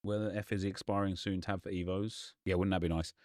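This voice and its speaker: A young man, a gaming YouTuber, chatting and ranting casually in a slightly hoarse voice. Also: low-pitched, flat delivery, darkish tone, dry recording, clean studio sound. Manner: chatty, ranting, quick asides.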